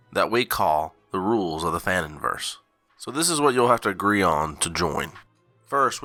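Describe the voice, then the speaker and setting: A man reading a scripted announcement, setting out group rules in a measured way like a charter. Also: low-pitched, bright, forceful tone. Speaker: channel co-founder.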